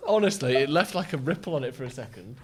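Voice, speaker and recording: A man co-hosting a podcast, ribbing his friends with quick, rambling asides. His voice sits at an ordinary middle pitch. He talks in loose, casual banter. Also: animated, darkish tone, slightly rough. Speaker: podcast co-host.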